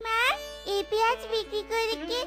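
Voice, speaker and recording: Brief: tiny cartoon tiger girl, shrill and whiny, voiced by a woman, loud music. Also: little pitch movement, moderate pace. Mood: childlike. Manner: whiny, pleading. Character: little tiger cub daughter, hungry and pleading. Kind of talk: cartoon voice acting.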